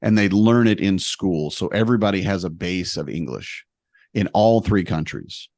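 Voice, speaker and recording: A man telling a travel story in casual conversation, animated and poking fun at himself. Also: deep voice, moderate pace, no room sound, clean studio sound. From United States. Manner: animated, self-deprecating, humorous storyteller.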